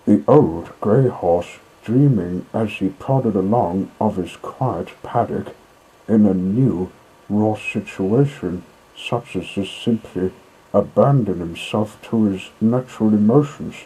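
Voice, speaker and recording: A man narrating a classic children's story in a measured, dramatic way, with deliberate pauses and a husky voice. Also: on the low side, mellow, low-quality recording.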